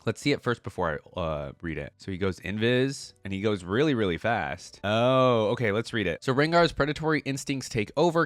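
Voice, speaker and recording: A man's voice: lowish voice, no room sound. A man hosting a reaction video, commenting casually and informally as he thinks aloud. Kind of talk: reads game text aloud between comments. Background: music.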